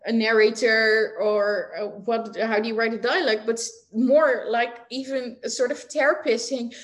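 Chatty woman, higher voice, laughing easily. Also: fairly even intonation, normal speed. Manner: chatty, laughs often, many fillers and restarts. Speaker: writing teacher and guest. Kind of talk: casual interview chat.

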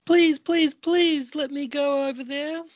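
A woman playing an excitable, pleading little girl in an amateur radio play. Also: sits high, a little slow, dry recording.

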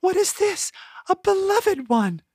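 Man doing a tiny old woman, high trilling voice, weepy and doting, storybook, dry sound. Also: very animated, takes it slowly, darkish tone, no echo, exaggerated voice.

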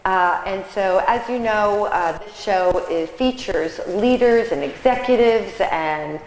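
A woman's voice: medium pitch, takes it slowly, a little hiss or hum. A woman hosting a casual interview show, chatty, with many fillers and restarts. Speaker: host. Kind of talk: casual studio interview chat. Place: catering kitchen set. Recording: roomy hall with long echo.

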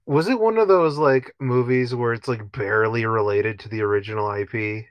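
A man's voice: medium pitch, moderate pace, no echo. A man chatting casually as a podcast co-host. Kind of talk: casual chat. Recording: dull, lo-fi microphone sound.

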